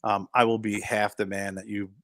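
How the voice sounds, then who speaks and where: Fifties guy, lowish voice, rambly and thoughtful, lots of restarts, dry close mic. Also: level tone, normal speed, fairly bright tone, no room sound. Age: 50s. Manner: rambling, restarts and trails off, reflective. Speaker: podcast co-host. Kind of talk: casual chat.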